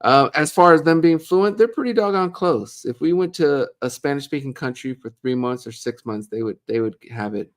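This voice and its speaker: A middle-aged man co-hosting a casual live Q&A, chatting easily and reading viewer comments aloud with a joking, relaxed manner. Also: fairly high pitch, moderate pace, hardly any pauses. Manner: chatty, jokey, reads viewer questions aloud.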